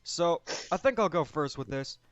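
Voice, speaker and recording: A man with a slightly high voice talks through a movie review in a casual, opinionated way. The sound is dry and somewhat muffled, with faint music underneath. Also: animated, moderate pace, smooth, dry recording. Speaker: host of a movie discussion. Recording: dull lo-fi audio, music bed.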